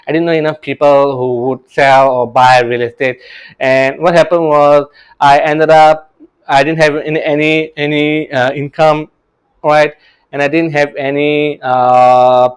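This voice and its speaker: A man's voice: on the high side, little pitch movement, unhurried, smooth, rough recording. A man, a real estate coach telling his story in an earnest, casual way, often restarting words and adding "right?". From Singapore. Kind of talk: casual motivational talk to camera.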